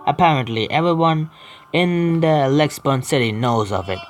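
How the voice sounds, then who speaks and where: A man hosting a gaming video, reading story text aloud haltingly with stumbles and adding casual asides. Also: on the high side. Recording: faint game music behind. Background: faint video game music.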